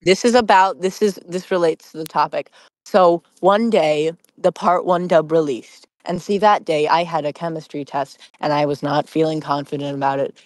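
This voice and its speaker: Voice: medium pitch, no room sound. A podcast co-host with a mid-range voice and a dry, close sound. The talk is casual and playful, given to joking storytelling. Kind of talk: casual chat.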